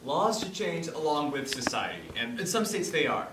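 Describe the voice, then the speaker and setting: A man's voice: a little high, big pitch swings, normal speed. A man delivering scripted, informational narration on stage. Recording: echoey room, distant mic, faint noise.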